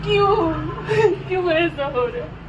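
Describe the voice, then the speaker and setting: Sobbing, very high-voiced young woman, distraught. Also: lively intonation, measured pace, noisy background. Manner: pleading, repeats anguished questions. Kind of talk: emotional outpouring to camera and to God.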